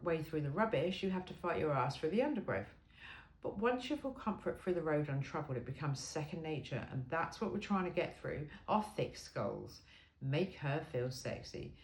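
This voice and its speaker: A woman's voice: on the low side, darkish tone, rough recording. A woman reading an informal self-help book aloud in an emphatic, cheeky and frank way. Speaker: amateur reader of a book aloud.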